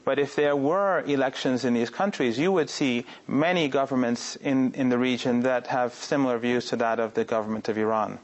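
A man's voice: medium pitch, moderate pace, few pauses, highs cut off. A man speaking as a panel guest in a debate, with hesitant restarts and firm contradictions. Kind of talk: heated debate.

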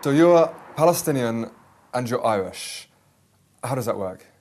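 A man's voice: medium pitch, lively intonation, measured pace, darkish tone. A man presenting and interviewing on location as a documentary reporter. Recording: clear outdoor city and traffic noise.

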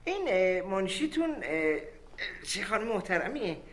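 A man's voice: sits high, sing-song, fairly bright tone. An actor playing a nervous male patient who stammers denials and dodges questions. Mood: flustered. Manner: flustered, keeps stammering denials. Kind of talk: sitcom acting.

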